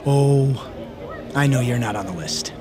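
An actor playing a stern, unbudging bar bouncer in an audio drama, curt and gruff. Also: male voice, medium pitch, measured pace, a crowd in the background, lightly acted. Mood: irritated.

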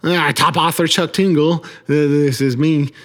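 A man hosting a podcast, introducing the show in a halting, folksy, oddly worded way with frequent stammers. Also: a little high, steady tone, a bit bright, long reverb tail. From Billings, Montana. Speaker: podcast host. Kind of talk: quirky scripted host monologue.

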